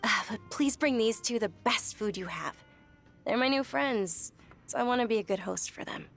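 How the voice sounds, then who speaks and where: A woman's voice: on the high side, moderate pace, vocal fry, soft background music. An actress playing a polite but headstrong young noble lady in a game, speaking earnestly. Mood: gentle. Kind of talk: game voice acting.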